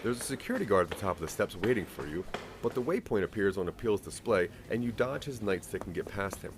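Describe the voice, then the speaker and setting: Low-voiced man narrating, very varied pitch. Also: sing-song, fast talker, background noise. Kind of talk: dramatic second-person scripted narration.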